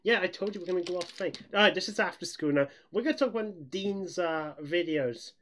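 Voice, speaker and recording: A young man with a fairly high-pitched voice chats casually as a podcast co-host. He rambles through anecdotes, restarting excitedly and giggling easily. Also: brisk. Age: young adult. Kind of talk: casual chat, telling anecdotes.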